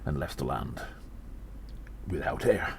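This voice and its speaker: A man playing a weary gnome investigator in an audio drama, musing haltingly and deliberately with breathy sighs. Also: extremely low pitch, expressive, heavily acted. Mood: grave, pondering. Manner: slow, deliberate, halting, pondering. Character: weary gnome investigator reporting on a spy conspiracy. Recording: faint background noise.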